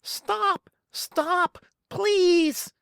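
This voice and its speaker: Storybook role: woman performs frantic small boy, very high squeaky pitch, strained squawks and stammers. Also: extremely slow, creaky, fairly bright tone, no echo, clean studio sound, full character voice. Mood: pleading. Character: frantic little boy trapped inside his own nose. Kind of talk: children's storybook character voice.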